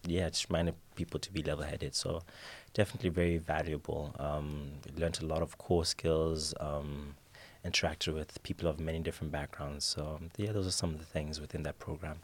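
A man's voice: very deep voice, fairly quick. A young man talking easily as a guest in an interview, with plenty of ums and restarts. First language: English. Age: young adult. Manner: relaxed, with many fillers and restarts. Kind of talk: casual interview answers. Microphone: studio mic at a table.

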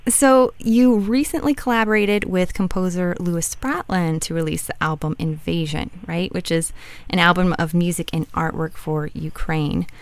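A woman hosting a radio interview, friendly and smooth, with brief prompts. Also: on the low side, fairly even intonation, moderate pace, no echo. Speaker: radio host.

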